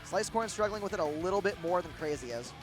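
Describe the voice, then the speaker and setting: A young man with a thick Midwestern accent gives live play-by-play for a rhythm game tournament. His voice sits a little high for a man, and he rambles with fillers and restarts. Loud game guitar music plays under his voice. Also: animated, fast talker, low-quality recording. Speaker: tournament commentator. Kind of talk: live esports play-by-play commentary.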